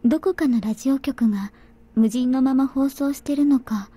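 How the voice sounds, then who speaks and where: An actress voicing a gentle, childlike young woman in a visual novel, speaking softly and wistfully. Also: sits high, fast talker, husky. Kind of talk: anime visual novel voice acting. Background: soft game music.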